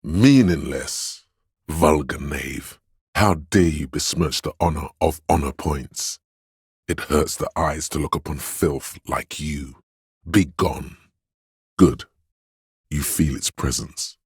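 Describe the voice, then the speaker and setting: An actor voicing a solemn, grandiose inner voice of authority in a video game, speaking in a pompous, ceremonious and deliberate manner. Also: male voice, very deep voice, husky, dry recording, studio quality, exaggerated voice. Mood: stern disdain. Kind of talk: scripted game voice lines, theatrical narration.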